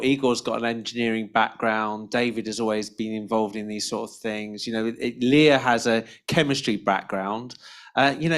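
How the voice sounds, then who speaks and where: British man moderating a panel. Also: medium pitch. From Britain. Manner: halting, restarts sentences, friendly. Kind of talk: casual online panel chat.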